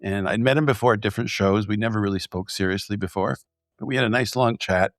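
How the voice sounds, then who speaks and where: A man from Hungary hosting a podcast interview, with an ordinary middle pitch. He talks at a brisk clip and asks curious, friendly questions. The sound is dry and close to the mic. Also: no echo. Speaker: podcast host. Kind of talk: conversational interview.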